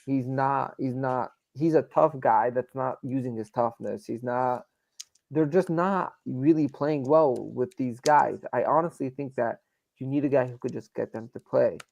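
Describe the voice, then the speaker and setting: A man's voice: medium pitch, unhurried, creaky, a little mellow. Emphatic New York man debating sports. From New York, United States. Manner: opinionated, emphatic, repeats himself. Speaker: sports fan show panelist. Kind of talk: casual live sports debate. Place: live stream call.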